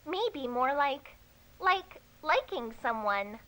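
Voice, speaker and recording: A woman's voice: sits high, sing-song, slow pace, bright, forceful tone, exaggerated voice, poor audio. A woman voicing an innocent, inquisitive little girl puppet in a naive, wide-eyed, childlike way. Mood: hesitant, curious. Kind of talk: children's puppet show parody.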